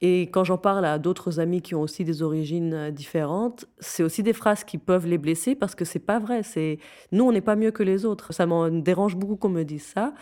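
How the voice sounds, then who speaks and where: The lowish-voiced woman guest on an interview show, earnestly pressing her points home. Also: moderate pace, dark tone. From Syria. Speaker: interview guest, founder of a youth non-profit. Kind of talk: conversational interview.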